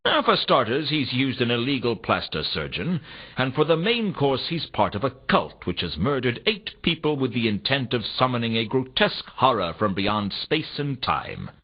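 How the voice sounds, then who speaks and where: An actor playing a world-weary, sardonic private detective in a noir video game, with a wry, deadpan, pressing delivery. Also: male voice, medium pitch, normal speed, husky. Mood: urgent, insistent. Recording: dull, muffled old console game audio.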